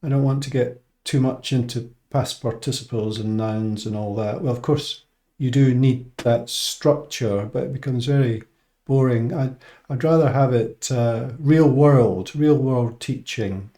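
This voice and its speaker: A Scottish man speaks as a teacher and scientist, explaining things informally to his viewers. His voice is dark and relaxed, with no hard edge to it. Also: mid-range voice, unhurried. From Scotland. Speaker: English teacher and medical research scientist.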